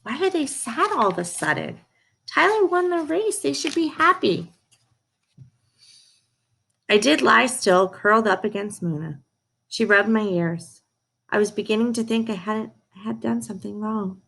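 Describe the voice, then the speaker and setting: A woman reading a storybook aloud to young listeners in an expressive, direct way, as a read-aloud host. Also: fairly high pitch, unhurried, vocal fry, pauses often, husky.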